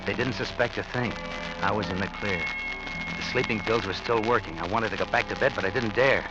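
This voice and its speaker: A nervous husband with a guilty secret played by a male actor, low in pitch and prone to halting, stammering speech. He belongs to a vintage radio thriller. The recording is dull, with clear music behind him. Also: close to an everyday voice. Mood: tense, cold. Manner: stammering, halting when questioned. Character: nervous, guilty husband hiding that he killed his wife. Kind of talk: 1940s radio thriller acting, first-person narration and dialogue.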